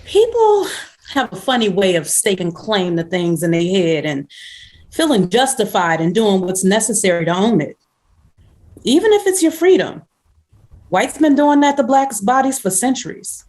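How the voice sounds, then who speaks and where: A woman's voice: medium pitch, sing-song, normal speed, darkish tone, natural voice. A woman playing a wry, world-weary woman home from prison, in a screenplay table read. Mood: pointed, wry.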